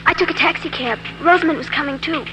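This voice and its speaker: An actress playing an unhappy, stubborn young daughter in a 1940s radio drama. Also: female voice, sits high, fast talker, over music, close to an everyday voice. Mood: pleading, stubborn. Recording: humming, noisy 1940s radio recording.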